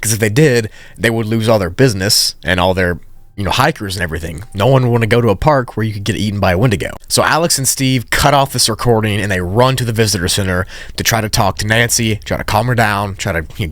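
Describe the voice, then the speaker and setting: A man's voice: lowish voice, quick pace, fairly bright tone, dry recording. A man narrating a story recap in a casual, conversational way with informal asides.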